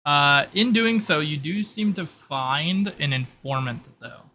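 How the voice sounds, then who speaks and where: Male game master, bright tone, halting explanatory delivery, faint noise behind. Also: fairly high pitch, animated. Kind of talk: improvised narration of a tabletop roleplay.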